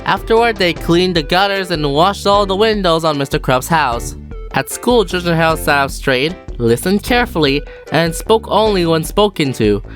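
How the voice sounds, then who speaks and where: A woman narrating a children's storybook in a lively, expressive read-aloud manner. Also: fairly low pitch, normal speed, faint music behind. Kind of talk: storybook reading.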